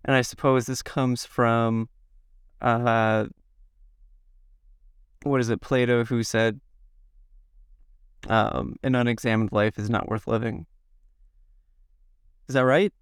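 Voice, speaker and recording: Slow, slightly hoarse Midwestern man. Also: mid-range voice, fairly even intonation, dry recording, studio quality. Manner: slow, halting, reflective. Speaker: podcast guest. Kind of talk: self-interview.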